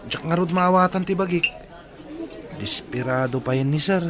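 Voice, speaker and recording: A man's voice: fairly high pitch, moderate pace, background noise, lightly acted. An actor playing an earnest, helpful young man in a radio drama, talking conversationally. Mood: concerned.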